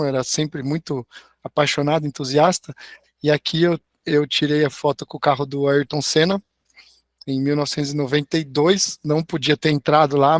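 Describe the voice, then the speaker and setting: A middle-aged man with a hoarse voice, an engineering professor giving a friendly online lecture with slides over a video call. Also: a little high, no echo, studio quality. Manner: friendly, tags sentences with "né?". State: hoarse, recovering from a sore throat.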